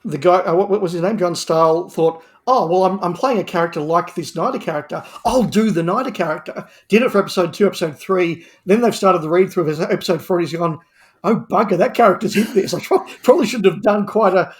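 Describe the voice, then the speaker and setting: Man, slightly high-pitched voice, casual discussion, panel guest, storytelling delivery. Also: fast.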